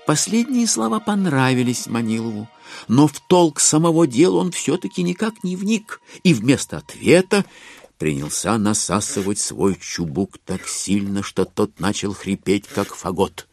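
A man narrating a literary audio drama in an expressive, wry storytelling style. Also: medium pitch, unhurried, creaky, no room sound, faint music behind.

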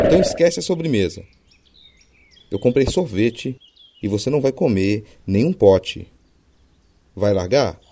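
Brazilian guy hosting a podcast, relaxed mellow tone, kinda wry and casual. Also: mid-range voice, slight background noise. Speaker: podcast host. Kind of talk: casual podcast hosting with scripted comic skit.

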